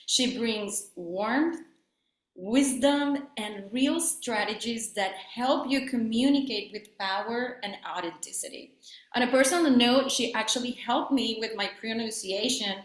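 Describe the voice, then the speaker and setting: A Spanish-speaking woman hosting a video-call webinar who talks warmly and earnestly, with small restarts and filler words. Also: fairly high pitch, unhurried, echoey room, rough recording. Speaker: webinar host introducing the speaker. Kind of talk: casual welcome and introduction on a video call.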